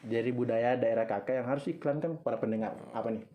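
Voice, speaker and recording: A man's voice: medium pitch, quick pace, phone-quality sound, a little hiss or hum. A young man hosting a student campus radio talk show, chatty, prompting his guests and reading listener questions aloud. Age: young adult.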